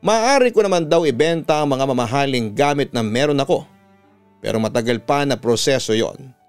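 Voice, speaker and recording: A man's voice: mid-range voice, animated, moderate pace, clear, resonant tone. A Filipino man who works as a radio announcer, reading out a listener's story as dramatic scripted narration. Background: music behind the voice.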